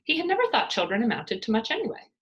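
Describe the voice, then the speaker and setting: Female children's storyteller, higher register, very lively expressive narration. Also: fast, highs cut off. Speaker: storyteller reading aloud to third graders.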